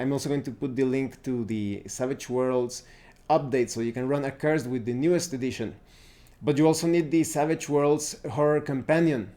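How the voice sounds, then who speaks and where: Male reviewer, mellow and relaxed tone, informal unscripted delivery with hesitant phrasing. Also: fairly high pitch. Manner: hesitant, halting, searching for words. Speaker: tabletop game reviewer and host. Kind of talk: unscripted review talk to viewers.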